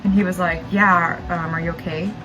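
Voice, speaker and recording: A woman's voice: lowish voice, little pitch movement, a little fast, highs cut off, over music. A young American woman telling a story casually and informally, saying "like" often. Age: young adult. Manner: informal, peppered with "like". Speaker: makeup vlogger. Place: small makeup studio, indoors.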